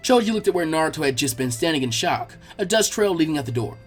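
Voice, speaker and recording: A man narrating fan fiction in an expressive, animated storytelling style. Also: fairly high pitch, fast talker, faint music behind.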